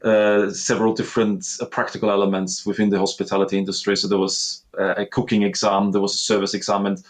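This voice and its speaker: A man in his thirties with an Austrian accent speaks as an interview guest in a somewhat low voice. He tells his story in an earnest, reflective way. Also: completely flat delivery, quick pace. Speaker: guest, master sommelier. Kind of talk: conversational interview.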